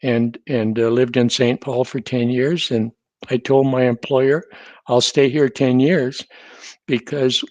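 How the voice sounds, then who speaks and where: A man in his seventies from North Dakota, telling stories as a guest in a casual conversation, measured with a dry humor and frequent restarts. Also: mid-range voice, level tone, darkish tone, no echo. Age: 70s.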